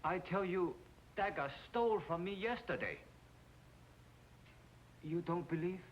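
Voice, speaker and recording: A man's voice: high voice, lively intonation, unhurried, slight background noise. An actor playing an anxious townsman accused of murder in an old television western, protesting in clipped broken English. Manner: clipped broken English, speaks of himself by name. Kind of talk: 1950s television western acting. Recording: muffled old TV soundtrack, loud music.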